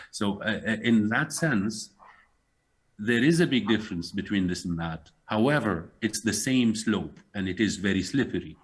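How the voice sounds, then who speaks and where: An earnest man speaks in a measured way, often pausing to find his words. His voice sits fairly low and has a slight husky grain. He sounds like a guest in a panel conversation. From Syria.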